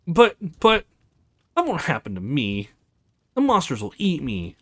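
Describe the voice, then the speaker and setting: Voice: mid-range voice, huge pitch swings, measured pace, fairly bright tone, heavily acted. A woman voicing a scared, innocent and curious little girl in a visual novel game, with music behind her. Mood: frightened.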